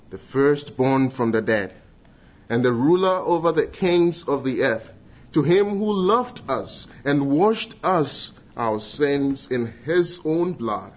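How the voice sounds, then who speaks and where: A middle-aged man from Ghana with a Ghanaian accent, giving an earnest church testimony and leading prayer, with pauses and restarts. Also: medium pitch, highs cut off. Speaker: guest speaker giving testimony.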